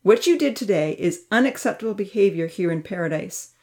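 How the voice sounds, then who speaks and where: A woman voicing a firm, kindly older male leader who scolds boys in a measured way in a storybook reading. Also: on the low side, animated, warm tone.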